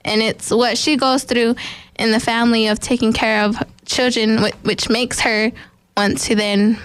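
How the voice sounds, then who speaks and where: A woman in a casual discussion, speaking in a halting, thoughtful way. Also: mid-range voice, smooth, no room sound. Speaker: discussion participant.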